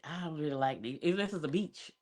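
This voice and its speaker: A woman's voice: low voice, fast talker, darkish tone. A woman co-hosting a live podcast in casual chat, with a nasal voice and a chatty, self-deprecating way of talking. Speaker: podcast co-host.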